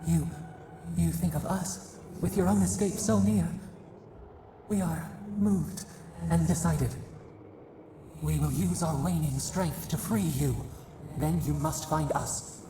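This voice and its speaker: An actress playing an eerie, sorrowful imprisoned spirit in a video game, speaking for many in solemn, cryptic, poetic phrases. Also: female voice, low-pitched, slow, dark tone, slight background noise, exaggerated voice. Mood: solemn, pleading. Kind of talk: dramatic video game voice acting. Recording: echoing voice under clear music.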